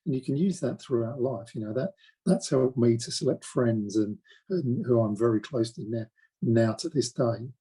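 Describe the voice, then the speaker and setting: Man in his forties, stammering, husky voice, soft mellow tone, hesitant restarts. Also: mid-range voice, moderate pace, rough recording. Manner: stammers, with repeated words and blocked sounds; hesitant, many fillers and restarts. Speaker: interviewee. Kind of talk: reflective personal account.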